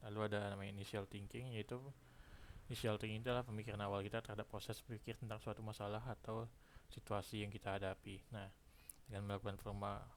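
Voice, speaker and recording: A young man from Indonesia speaks in a very flat, fairly low voice. He explains things haltingly, like a student presenting to a camera. Slight noise sits behind his voice. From Pekanbaru, Indonesia. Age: 20s. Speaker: university engineering student. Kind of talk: self-introduction and lecture recap to camera.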